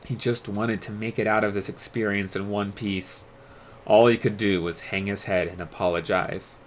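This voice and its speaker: A man reading a story aloud as a streamer, in an expressive storybook narration style. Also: on the low side, normal speed, slight background noise.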